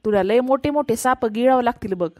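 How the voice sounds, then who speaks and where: A woman with a rural Marathi accent voicing a bossy, scolding male stunt-show host in a comedy cartoon, with gruff, mocking banter. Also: a little high, a little fast, dark tone, lightly acted.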